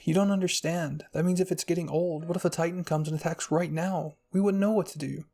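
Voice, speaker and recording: Man reading as an anxious, creaky-voiced boy. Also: fairly high pitch, steady tone, brisk, warm tone. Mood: worried. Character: anxious, thoughtful young boy, caring friend.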